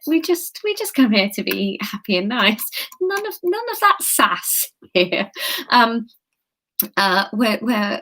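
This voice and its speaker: A woman's voice: medium pitch, fairly bright tone. A slightly tipsy woman comedian reading a novel aloud on a live stream, giggly and chatty, breaking off to answer comments. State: a bit tipsy. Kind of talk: live-streamed storybook reading with chat asides.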